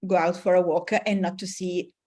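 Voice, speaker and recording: A woman's voice: on the low side, flat delivery, normal speed, mellow. A woman in her forties with an Italian accent, a guest in a casual interview who speaks hesitantly with many fillers and frequent laughs. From Italy. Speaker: guest, business coach.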